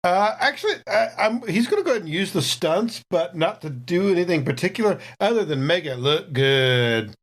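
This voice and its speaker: A man with a fairly high voice, playing in a tabletop game. He speaks casually, describing his character's actions. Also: lively intonation, moderate pace, few pauses.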